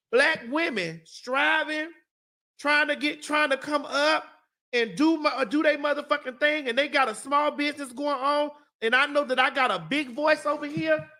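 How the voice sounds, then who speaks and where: A talk-show host ranting in heated, profane, emphatic casual talk. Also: female voice, sits high, a bit bright.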